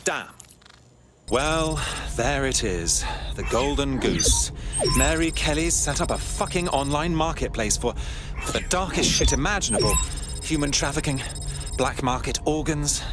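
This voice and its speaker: An actor playing a resistance operative in a video game, blunt, grave and hard-swearing. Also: male voice, a little high, animated, unhurried, over loud music. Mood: disgusted, indignant.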